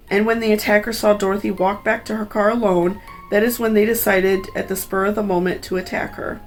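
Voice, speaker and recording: Female true crime narrator, mid-pitched with noticeable vocal fry, earnest and sympathetic, faint music underneath. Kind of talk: scripted true crime narration with personal asides.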